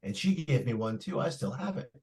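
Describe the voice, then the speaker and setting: A man's voice: medium pitch, sing-song, fairly quick, a crowd in the background. A man playing an indulgent but firm father in old-time radio drama, patronizing and a little stammering. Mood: relaxed, sentimental. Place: live stage radio-play performance.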